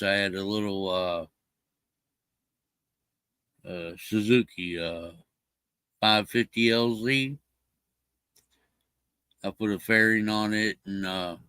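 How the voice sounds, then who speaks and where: A man's voice: lowish voice, pushed and bright, smooth, dry recording. An older man chatting casually on a livestream, rambling with many fillers and restarts. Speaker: livestream co-host. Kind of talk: casual chat.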